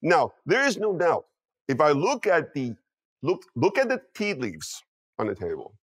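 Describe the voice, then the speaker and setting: Man, middle-aged, emphatic, lively swinging pitch, keeps checking in with listeners. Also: unhurried. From Taiwan. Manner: emphatic, repeats "okay" and "you know what". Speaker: guest strategist. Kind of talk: animated interview commentary.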